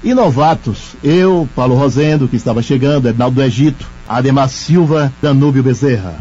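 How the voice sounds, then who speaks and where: Mellow older radio man, dull archive sound. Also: medium pitch, normal speed. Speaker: veteran radio presenter and actor. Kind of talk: measured reminiscence. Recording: dull lo-fi archive audio, faint music.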